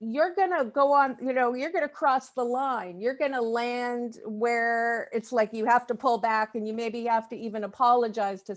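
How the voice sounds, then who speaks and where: A woman chatting casually in a group discussion, halting and repeating words as she works out her thoughts. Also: fairly high pitch. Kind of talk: casual video-call chat.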